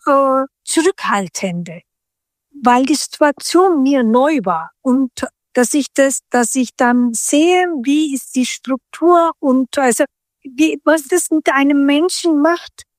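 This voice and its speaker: A middle-aged woman from Turkey speaks in a high voice. She talks slowly and reflectively, often restarting sentences as she hunts for words. The recording is close and dry, with no room sound. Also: dry recording. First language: Turkish. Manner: thoughtful, searching for words with frequent restarts. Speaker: guest. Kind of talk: reflective interview conversation.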